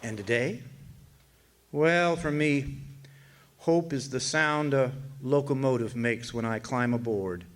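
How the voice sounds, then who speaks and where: An actor playing a weary older drifter and war veteran, narrating a radio drama in a folksy, hesitant, reflective way. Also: male voice, medium pitch, takes it slowly, natural voice. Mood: wistful, weary.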